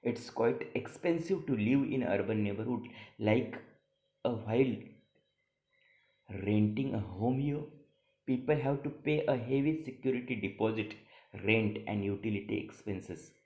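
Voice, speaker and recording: A man teaching a lesson, reading practice paragraphs aloud in a deliberate, stumbling way and repeating phrases. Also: on the low side, darkish tone. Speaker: college teacher. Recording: echoey room sound.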